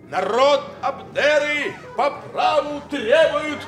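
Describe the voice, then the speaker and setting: An actor playing a pompous, indignant defence lawyer, declaiming theatrical rhetoric with drawn-out words in a satirical radio play. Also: male voice, very high voice, a bit bright, soft background music, heavily acted. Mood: accusing, rousing.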